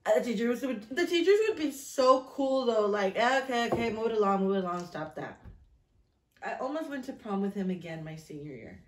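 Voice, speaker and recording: A woman's voice: medium pitch, animated, a little fast, noisy background. A woman in her thirties chatting casually and reading aloud in a self-mocking, storytelling way. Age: 30s. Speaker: vlog host. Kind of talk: casual chat and reading aloud from old journal. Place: small room indoors at a table. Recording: echoey room.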